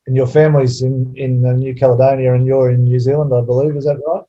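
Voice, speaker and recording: A man hosting an online webinar over a video call, relaxed and hesitant with many fillers. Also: mid-range voice, fast talker, dull audio. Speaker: webinar host.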